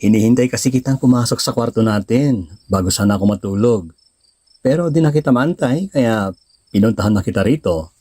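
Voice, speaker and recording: An actor playing a devout, tender young husband and father in a sentimental radio drama, speaking gently and sincerely with a Filipino accent. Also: mid-range voice, fairly quick, dry recording, lightly acted. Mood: soft, affectionate. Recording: music bed under the voice.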